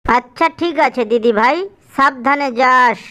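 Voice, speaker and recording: A frail old granny bird from a children's cartoon , played by a woman. She speaks in a high, bright voice. Her manner is fond and affectionate, that of a doting elder. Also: unhurried. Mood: fond, relieved.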